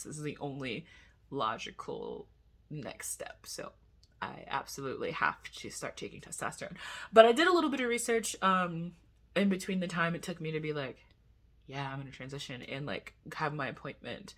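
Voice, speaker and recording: A man's voice: fairly high pitch, fairly bright tone. A young man vlogging in a casual, rambling way, full of asides and giggles. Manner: chatty, giggly, talks in loose asides. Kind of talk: casual rambling chat to camera.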